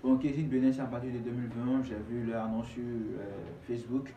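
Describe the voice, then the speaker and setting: A man's voice: medium pitch, level tone, a little fast, highs cut off, a crowd in the background. Young man, Ivorian accent, casual slangy interview talk, distant from the mic, echoey room. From Ivory Coast. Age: young adult. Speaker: rapper being interviewed.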